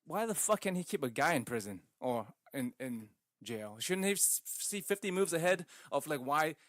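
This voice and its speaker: A man's voice: fairly high pitch, sing-song, moderate pace, a bit bright, no echo, studio quality. A man co-hosting a podcast, chatting casually, arguing his point with questions and some swearing. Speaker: podcast co-host. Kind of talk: casual chat.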